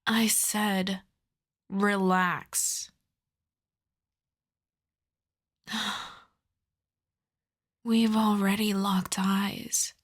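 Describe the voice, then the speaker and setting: A woman voicing a seductive, lovestruck vampire in a soft, breathy, intimate ASMR whisper, coaxing and doting. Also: medium pitch. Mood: gently commanding.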